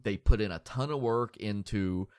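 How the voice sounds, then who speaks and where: A man with a lowish, stuffy, nasal voice hosts a laid-back podcast, rambling and poking fun at himself. He is recorded dry and close, with no room sound. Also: normal speed, clear, resonant tone, no echo. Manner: rambling, self-deprecating, joking. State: stuffy with a cold. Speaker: podcast host. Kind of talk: casual podcast chat.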